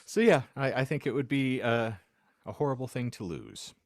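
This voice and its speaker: A man's voice: medium pitch, very animated, moderate pace, vocal fry, no room sound, clean studio sound. A man hosting a comic podcast, talking in a theatrical, florid and wordy way. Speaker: podcast host.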